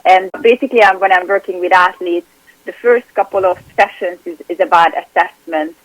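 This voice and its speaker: Low-voiced Hungarian woman, dry sound. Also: fairly quick, no echo, poor audio. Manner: earnest, repeats words and restarts often. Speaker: performance coach. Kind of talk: interview guest.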